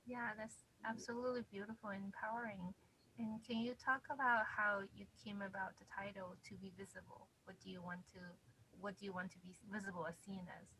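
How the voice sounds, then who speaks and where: Flat-voiced woman interviewer. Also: medium pitch, a little fast, slight background noise, low-quality recording. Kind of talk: Q&A moderating.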